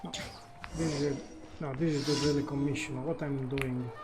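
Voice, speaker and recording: Male streamer, mid-pitched, casual gameplay commentary, hesitant muttering, game music underneath. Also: fairly even intonation, moderate pace. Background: game music, clicking.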